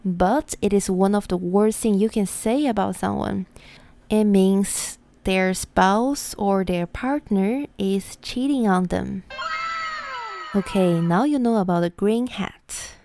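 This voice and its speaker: A young woman from China with a Mandarin Chinese accent, a native Mandarin speaker, teaching Chinese words in a scripted lesson, slowly and deliberately repeating each word for learners. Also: mid-range voice, vocal fry, dark tone, a little hiss or hum. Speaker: language teacher.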